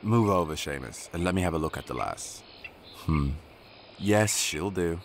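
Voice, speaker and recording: Guy as gruff otherworldly fairy man, real deep gravelly voice, radio play. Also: lively intonation, moderate pace, a little hiss or hum. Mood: appraising. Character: gruff, imposing otherworldly fairy man, fussy about mortals. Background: faint ambience.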